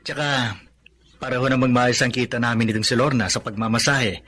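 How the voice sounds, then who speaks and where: An actor playing an earnest young working husband in a radio drama, speaking expressively. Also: male voice, medium pitch, steady tone, fairly quick, bright, forceful tone, a little hiss or hum, natural voice. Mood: content, earnest.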